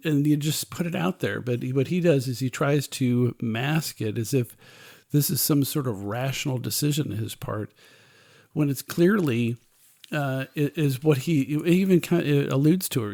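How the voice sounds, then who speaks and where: A man's voice: mid-range voice, normal speed, vocal fry, darkish tone, no echo, clean studio sound. A man co-hosting a podcast, discussing a book in a casual, opinionated, sardonic way and often circling back over his phrases. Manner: sardonic, dismissive. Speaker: podcast co-host.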